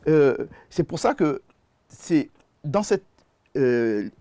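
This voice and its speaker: A man with a Gabonese French accent, a musician and producer speaking as a guest in a TV studio interview, halting and often repeating his words. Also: fairly high pitch, very animated, mellow, dry recording.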